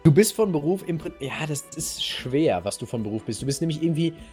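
A man hosting a podcast, telling a history story casually and playfully in a halting, slightly husky voice. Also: fairly high pitch, expressive, darkish tone, soft background music. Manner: halting, playful storyteller addressing co-host directly. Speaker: podcast host.

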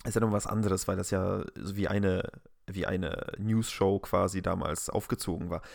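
A man co-hosting a casual podcast, talking in a rambling, halting and incredulous way. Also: sits low, fairly even intonation, gravelly, darkish tone, dry recording, clean studio sound. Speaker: podcast co-host.

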